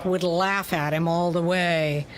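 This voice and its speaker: A woman's voice: lowish voice, steady tone, takes it slowly. Woman narrating pulp detective fiction, faint noise.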